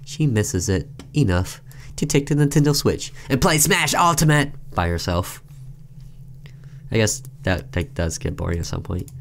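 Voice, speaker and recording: Low-voiced man doing casual let's-play. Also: very animated, normal speed, vocal fry, slight background noise. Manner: informal, reacts aloud to what is on screen. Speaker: gaming video host reading a game's text aloud. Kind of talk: casual let's-play commentary with read-aloud narration.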